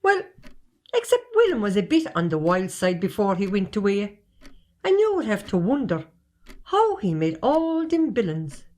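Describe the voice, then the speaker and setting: A woman's voice: mid-range voice, wildly swooping pitch, normal speed, a little mellow. A woman plays a gossipy country post carrier with an Irish accent and a mid-range female voice. The talk is folksy, sly and roundabout, in amateur stage-play style. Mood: prying. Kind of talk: amateur stage comedy-drama acting.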